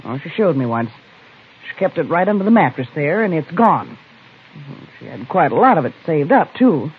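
An actress playing a blunt, feisty Old West rooming-house landlady with a husky voice and folksy, emphatic speech in a radio western. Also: low-pitched, big pitch swings, normal speed, exaggerated voice. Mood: bitter, accusing. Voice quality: husky, rough voice. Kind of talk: 1950s radio western acting. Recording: tinny, narrow old-time radio recording.

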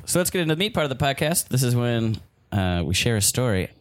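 A man's voice: medium pitch, sing-song, quick pace, over loud music. A man co-hosting an improv comedy podcast, goofing through scenes and steering the chat, often laughing. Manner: goofy, playful, laughing.

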